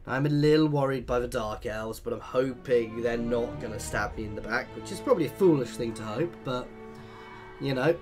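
A man's voice: mid-range voice, normal speed, darkish tone, faint music behind. A man with a bunged-up cold, chatting and thinking aloud as he commentates his own strategy game playthrough. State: congested from a cold. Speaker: let's play host.

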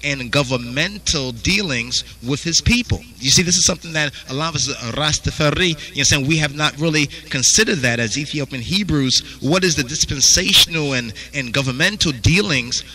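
A man's voice: fairly high pitch, fairly quick, few pauses, bright, forceful tone, rough recording. A man teaching a Bible study, reading verses aloud and asking "you understand?" after nearly every phrase. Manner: repeats "you understand?" constantly.

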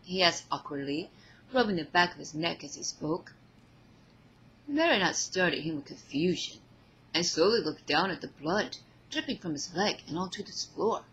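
A woman reading fan fiction aloud as an expressive story narrator. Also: lowish voice, normal speed, a little hiss or hum, low-quality recording.

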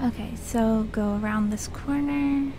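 A woman's voice: a little high, a little slow, music in the background, low-quality recording. A woman chatting casually with friends while streaming a video game. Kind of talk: casual group gaming chat.

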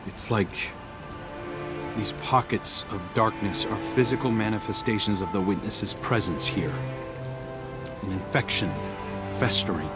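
Male actor as troubled young warrior, lowish voice, hushed, earnest, intense, game drama, music behind. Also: measured pace, long pauses, close to an everyday voice. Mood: grave, troubled. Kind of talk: dramatic video game dialogue.

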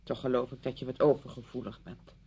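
An actress in a radio drama playing a defensive, tactless married friend who answers briefly and dismissively. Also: female voice, a bass voice, steady tone, fairly quick, mellow, husky, dull audio, exaggerated voice. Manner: brief, dismissive, downplaying replies.

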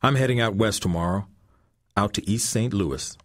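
A man's voice: lowish voice, normal speed, voice pushed up, close to an everyday voice. A man voicing a tough, wry old homeless man in a storybook reading, with a folksy drawl. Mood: calm. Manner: folksy drawl, dialect grammar.

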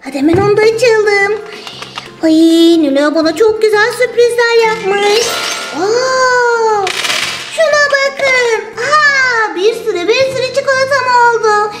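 A woman's voice: very high voice, a little slow. A woman voicing a playful, greedy toy turtle in a children's toy story, exclamatory and sing-song. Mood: excited. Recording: roomy sound with music underneath.

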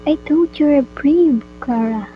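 Woman as high-voiced, brazen teenage schoolgirl. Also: measured pace, dull audio, faint music behind. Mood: taunting. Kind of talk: amateur radio drama acting.

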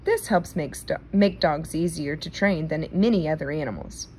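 A woman reading a children's nonfiction book aloud in a careful, deliberate manner. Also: low-pitched, dark tone, slight background noise. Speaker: read-aloud reader.

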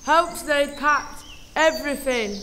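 A woman's voice: high voice, takes it slowly, noisy background. A woman narrating a scripted children's story as the narrator.

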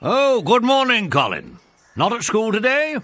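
Hearty, animated old general. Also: male voice, high voice, measured pace, exaggerated voice. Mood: genial. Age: older. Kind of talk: children's audio drama acting.

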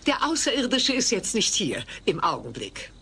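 An actress playing a sly, grandiose sideshow huckster with a theatrical, wheedling manner in a sitcom audio play. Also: female voice, medium pitch, wildly swooping pitch, moderate pace, gravelly, sharp tone, a little hiss or hum, heavily acted. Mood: sly, insistent.

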